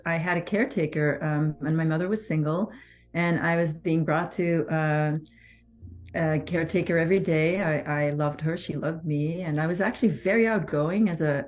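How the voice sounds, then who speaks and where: Soft-spoken woman, low voice. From Belgium. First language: French. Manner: measured, halting, with pauses and restarts. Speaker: survivor giving testimony. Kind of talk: personal testimony interview.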